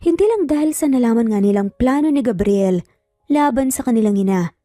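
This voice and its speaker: A woman storyteller with a soft, mellow voice that sits a little high. She delivers dramatic scripted narration in a very dry recording, with faint music behind her. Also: animated, fairly quick, dry recording.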